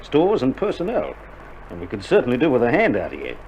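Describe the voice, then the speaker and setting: An actor playing a weary, dry-humoured Australian army doctor in a wartime radio drama, speaking in a colloquial, matter-of-fact way. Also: male voice, medium pitch, huge pitch swings, a little fast. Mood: reassuring. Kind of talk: recorded radio drama acting. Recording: dull vintage vinyl recording, loud noise.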